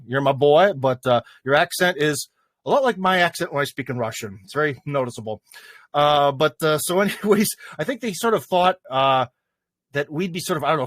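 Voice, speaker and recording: A man's voice: fairly high pitch, a bit bright, no echo, clean studio sound. A man hosting a casual live stream, rambling with many fillers and chuckles. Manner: rambling, lots of fillers and laughs.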